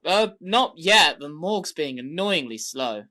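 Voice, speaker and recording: A woman's voice: low-pitched, big pitch swings, unhurried, bright, forceful tone, full character voice. A woman voicing a wry villager who also narrates, with dry asides, in an amateur audio drama.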